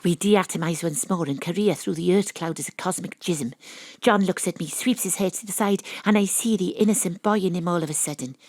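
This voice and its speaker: Woman acting cocksure female sleuth, lowish voice, dry close sound, theatrical, conspiratorial, audio drama narration. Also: a little fast, a little mellow, no echo, close to an everyday voice. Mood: hushed, conspiratorial. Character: brash, cocksure amateur female detective narrating her investigation.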